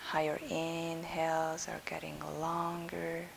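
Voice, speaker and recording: A woman with a low, creaky voice guides a relaxation meditation. Her delivery is soft and soothing, trailing into breath at the ends of phrases, with long pauses. A steady hiss sits behind her. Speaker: yoga nidra instructor.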